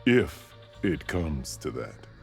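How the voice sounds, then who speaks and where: Game war chief: very low voice, slow and weighty, solemn, imperious, formal. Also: male voice, very animated, creaky, soft background music, full character voice. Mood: grave, commanding. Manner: formal, weighty, deliberate delivery. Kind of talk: video game dramatic acting.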